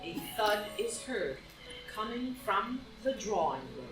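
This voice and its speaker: A woman's voice: medium pitch, big pitch swings, a little slow. A woman reading stage directions as scripted narration for a play. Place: indoor room, live in-person reading. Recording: roomy live reading, distant mic, music.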